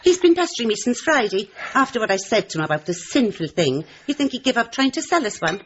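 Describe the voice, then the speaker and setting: A woman's voice: fairly high pitch, sing-song, fast, highs cut off, a little hiss or hum. A woman playing a chatty, bossy, motherly older housekeeper in a radio drama, fussing and indignant. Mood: indignant, gossipy.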